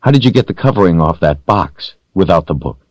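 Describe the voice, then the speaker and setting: A man's voice: low voice, animated, brisk, no echo. A man voicing a defiant, stubborn captive hero in an audiobook, speaking bluntly and with tension. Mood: challenging. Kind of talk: audiobook character voice.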